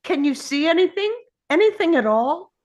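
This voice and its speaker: A woman's voice: very high voice, big pitch swings, moderate pace, a little mellow. A woman playing an exhausted, earnest young boy hero in an urgent manner at a script read. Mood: anxious. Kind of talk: table read of a film script.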